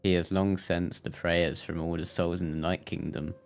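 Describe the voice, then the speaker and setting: A man's voice: low-pitched, level tone, moderate pace, gravelly, smooth. A man livestreaming a video game, reacting casually and reading dialogue aloud.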